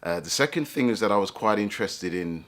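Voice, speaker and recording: A man with a deep, low-pitched voice tells his own life story. He speaks reflectively and thoughtfully, often pausing to find the right words. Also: expressive, a bit bright. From England, London. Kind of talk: personal life story told to camera.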